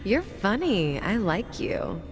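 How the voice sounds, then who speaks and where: A woman's voice: mid-range voice, sing-song, slow. A woman acting a confident, flirtatious female crime boss in an English video game dub, with a poised, teasing manner. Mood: playful, poised. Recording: clear background ambient noise.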